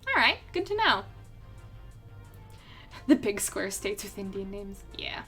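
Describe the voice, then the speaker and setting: A woman's voice: medium pitch, sing-song, takes it slowly, sharp tone. A young woman streaming her art, chatting casually with viewers in a rambling way, full of fillers and frequent laughter. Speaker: artist streamer. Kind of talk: casual livestream chat with viewers. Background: faint background music.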